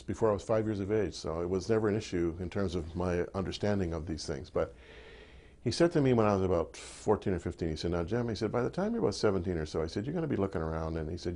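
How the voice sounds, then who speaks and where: A man hosting an interview, asking questions in a halting way with many fillers. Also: low voice, darkish tone. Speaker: interview host. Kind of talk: interview conversation.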